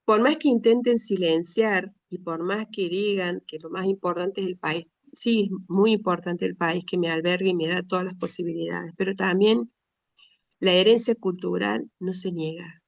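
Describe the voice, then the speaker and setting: A middle-aged woman with an Argentine Spanish accent gives an earnest, measured personal talk with frequent pauses and restarts. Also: fairly low pitch, steady tone, creaky, no echo, lo-fi sound. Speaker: speaker, retired school director.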